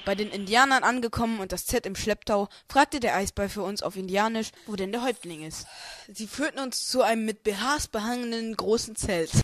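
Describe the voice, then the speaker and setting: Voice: medium pitch, fairly quick, a bit bright, no echo. A narrator reading a scripted comic audio play in a storytelling style. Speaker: narrator and main character.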